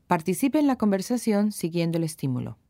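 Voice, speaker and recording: Mellow woman narrating a language exercise. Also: fairly low pitch, sing-song, a little fast, no room sound, studio quality. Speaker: narrator of a Spanish language course. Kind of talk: scripted instructional narration.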